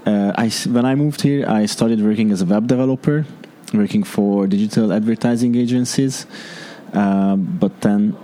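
A man's voice: lowish voice, normal speed, dark tone. A young man from Hungary with a Hungarian accent, speaking English as a second language, answering interview questions in an earnest way and searching for words. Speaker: startup founder.

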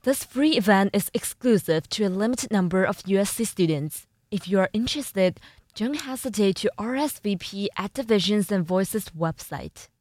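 A young woman anchoring a student news program, reading a script in an even announcing manner. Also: mid-range voice, moderate pace, dry recording. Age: young adult.

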